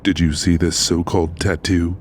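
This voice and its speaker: A man's voice: low voice, level tone, normal speed, a little hiss or hum, natural voice. An actor playing a dry, wry, plainspoken country man in an audiobook drama. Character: Joe, dry, easygoing country man, anxious but decent.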